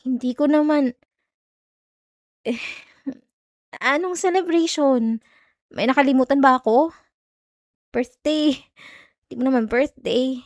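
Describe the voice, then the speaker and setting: A young Filipino student girlfriend, acted by a woman in an intimate audio roleplay. Her voice is high and recorded very dry, with no room around it. She talks in a sulky, defensive way, like someone jealous and easily irritated. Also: no echo. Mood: flustered, curious. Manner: defensive, clipped, teasing Taglish.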